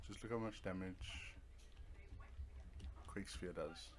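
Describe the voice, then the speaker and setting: Man, deep voice, casual gaming commentary, offhand, step-by-step explanations. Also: slow pace. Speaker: gamer playing and explaining a video game. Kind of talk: casual let's play commentary.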